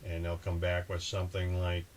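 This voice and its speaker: A man with a fairly low voice hosts a casual how-to talk on boating. He speaks conversationally and practically, as if walking a viewer through the steps. There is some ambient noise behind him. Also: normal speed. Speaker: host of a boating channel. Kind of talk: casual instructional talk with example radio calls. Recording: clear steady background ambient noise.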